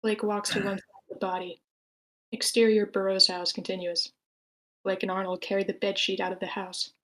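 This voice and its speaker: A woman's voice: mid-range voice, little pitch movement, fairly quick, fairly bright tone, low-quality recording. Female narrator, middle register, scripted screenplay narration for a table read. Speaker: narrator reading stage directions.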